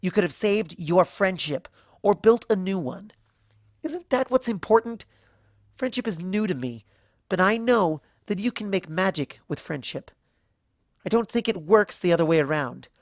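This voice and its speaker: A narrator voicing an indignant young female unicorn student in a story, sardonic and accusing, with sharp, cutting questions. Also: medium pitch, animated, moderate pace, no room sound, studio quality, pitched above the performer's own voice, close to an everyday voice. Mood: shouting, indignant.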